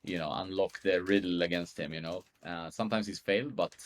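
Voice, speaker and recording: A man's voice: low voice, a little fast. A man speaking English as a guest in a casual chat, easygoing and thoughtful. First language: Swedish.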